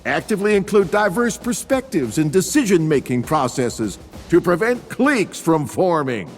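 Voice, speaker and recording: A man's voice: fairly high pitch, sing-song, moderate pace, in a large hall, music in the background. A man putting on a barking drill sergeant. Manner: commanding, shouted lecture points. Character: barking male drill sergeant lecturing recruits.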